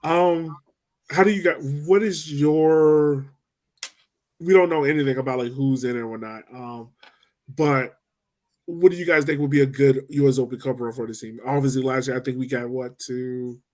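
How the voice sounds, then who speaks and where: A man hosting a sports podcast, relaxed and casual, asking his co-hosts questions. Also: medium pitch, moderate pace. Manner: relaxed, asks questions to steer the talk. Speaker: podcast host.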